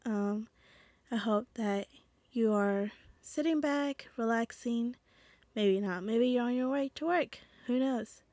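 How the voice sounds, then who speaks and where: Young woman doing a solo podcast, kinda nasal and rough from allergies, rambly, dry close sound. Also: fairly high pitch, slow pace, darkish tone, no echo. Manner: chatty, rambling with fillers and restarts. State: stuffy from allergies. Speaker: podcast host. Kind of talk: casual solo podcast chat.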